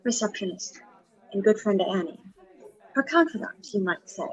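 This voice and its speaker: An actress playing a cool, scheming young receptionist in a detective audio drama, guarded and confiding, on a slightly dull recording. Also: female voice, medium pitch, animated, normal speed, lightly acted. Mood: confiding, sly.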